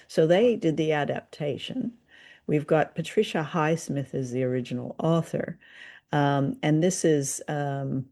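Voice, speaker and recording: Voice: mid-range voice, vocal fry, warm tone. A podcast host talking casually and with enthusiasm about old-time radio drama, in a conversational way.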